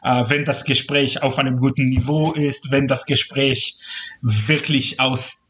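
A man's voice: medium pitch, little pitch movement, measured pace, fairly bright tone, lo-fi sound. A man from Israel, a native Hebrew speaker with an Israeli accent, explaining earnestly as a guest in a casual podcast conversation, searching for words at times. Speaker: interview guest, journalist.

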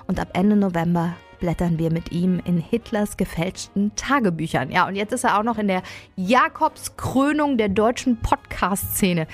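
A woman co-hosting a radio chat show in an enthusiastic, gushing way, her voice mid-pitched. Soft music sits faintly behind her. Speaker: radio co-host. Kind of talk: radio chat and guest introduction.

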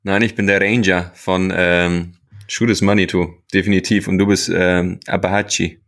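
A man with a deep, low-pitched voice co-hosts a podcast. He banters casually and jokingly, often repeating a word or two before the sentence gets going. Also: level tone, a little slow, a bit bright. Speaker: podcast co-host.

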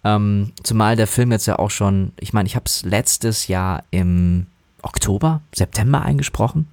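German voice actor, dry close sound. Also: male voice, unhurried, darkish tone, no echo. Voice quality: relatively high voice. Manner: thoughtful, hesitant, many fillers and restarts. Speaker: voice actor guest. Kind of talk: casual interview talk.

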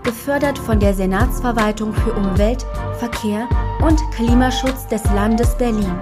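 Female announcer over clear hip hop music. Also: fairly high pitch, a little slow. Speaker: sponsor announcer. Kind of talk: scripted credit announcement.

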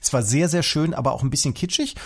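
A man's voice: on the high side, fast, husky. A man co-hosting a podcast in casual chat, telling stories and teasing the others. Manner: storytelling, teasing. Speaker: podcast co-host.

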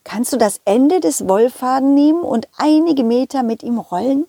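A friendly, loyal dog hero of a children's audio play, played by a woman. The voice is high and dry, with an encouraging, confident way of talking to companions. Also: moderate pace, no echo. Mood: instructing. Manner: encouraging, addresses listeners and companions warmly. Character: confident loyal male dog, friendly kind problem-solver. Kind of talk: children's audio play, told in first person.

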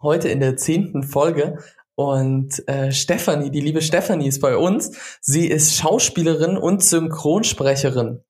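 Podcast host, medium-pitched voice, informal interviewer, enthusiastic and affirming. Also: measured pace, a little mellow. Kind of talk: casual interview chat.

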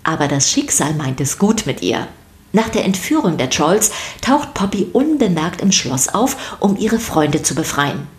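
A woman's voice: fairly low pitch, very animated, unhurried. A woman narrator reading a scripted podcast for children.

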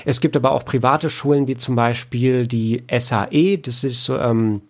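A man, an audio producer talking as an interview guest, explaining things in a halting way and repeating words as he thinks. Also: medium pitch, steady tone. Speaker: audio producer and sound engineer, podcast guest.

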